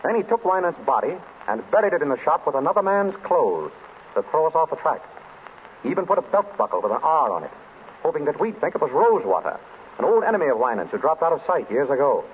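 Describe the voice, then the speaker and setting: Suave sleuth, breezy and authoritative, very animated delivery, 1940s-style radio drama with audible hiss. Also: male voice, a little high, fast talker. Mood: measured, accusing. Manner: breezy, urbane, in command. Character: suave, wry male detective hosting a dinner of suspects. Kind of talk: old-time radio drama acting. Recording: noisy, tinny vintage radio broadcast.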